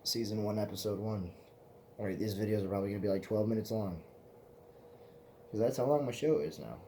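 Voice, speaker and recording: Low, dark-voiced young man rambling. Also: a little fast, slight background noise. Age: 20s. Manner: loose, profane, meandering. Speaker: vlog host. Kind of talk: casual rambling chat.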